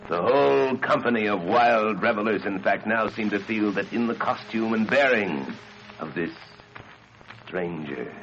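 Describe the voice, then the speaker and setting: A man narrating a gothic horror story in a dramatic old radio manner, swinging between hushed and declaiming delivery. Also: deep voice. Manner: theatrical, swelling from hushed near-whisper to declaiming. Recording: dull, band-limited radio sound under loud music. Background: loud music.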